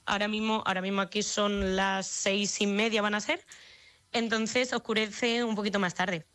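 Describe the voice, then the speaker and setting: A woman's voice: medium pitch, fairly even intonation, normal speed, fairly bright tone. A young woman from Spain with a slightly Madrid-leaning Spanish accent, chatting as an interview guest with many fillers and restarts. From Spain, Extremadura. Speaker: guest, au pair abroad. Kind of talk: casual remote interview chat.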